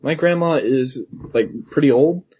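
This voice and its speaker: A young man co-hosting a casual podcast, joking loudly and riffing with laughs. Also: fairly high pitch, a little slow, slightly rough, low-quality recording. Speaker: podcast co-host. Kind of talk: casual chat.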